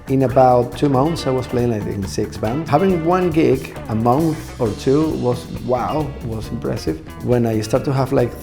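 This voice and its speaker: A man's voice: mid-range voice, gravelly. A Venezuelan band leader and musician speaking English with a Venezuelan Spanish accent. He speaks Spanish natively and tells his story thoughtfully and earnestly, sometimes searching for his words. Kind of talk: reflective documentary interview. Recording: music playing underneath.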